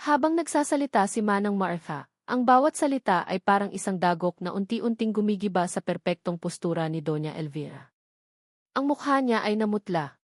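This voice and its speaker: A woman's voice: mid-range voice, animated, moderate pace, no echo, clean studio sound. A woman narrator reading a scripted dramatic story.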